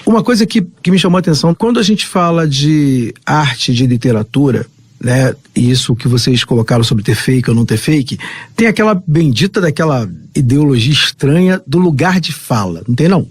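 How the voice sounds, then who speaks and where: A man's voice: on the high side, lively intonation, fairly quick, husky, no echo. A man hosting an informal radio talk show, chatty and friendly, asking his guests to explain terms.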